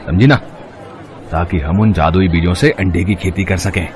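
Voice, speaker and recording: A man's voice: deep voice, normal speed, a little mellow, a faint crowd, slight background noise, natural voice. A man voicing a jealous, scheming rival shopkeeper in a storybook tale, mocking and exaggerated.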